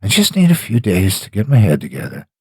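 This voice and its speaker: A man's voice: fairly low pitch, huge pitch swings, a little fast, warm tone, slightly rough, no echo, full character voice. A man voicing a weary, heartbroken young woman in an audio horror story, reluctant and pleading. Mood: weary, defensive.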